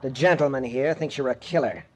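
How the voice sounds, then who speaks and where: An actor playing a hot-headed, bullying young tough with a clipped, sneering delivery in a radio crime drama. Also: male voice, medium pitch, brisk, darkish tone, lightly acted. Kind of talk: old-time radio crime drama acting. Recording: noisy old radio broadcast recording.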